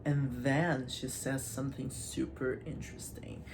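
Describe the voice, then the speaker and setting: A woman's voice: very deep voice, steady tone, unhurried, creaky, a little mellow, a little hiss or hum. A woman hosting a casual, chatty literature analysis, informal and playful with asides to the viewer. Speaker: host of a literature video series.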